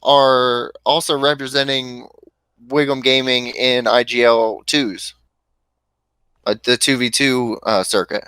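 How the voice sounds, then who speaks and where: A man's voice: medium pitch, fairly even intonation, takes it slowly, sharp tone, clean tone, no echo. Joking man in his twenties. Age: 20s. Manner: joking, teasing. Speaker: streamer host. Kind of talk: casual livestream chat.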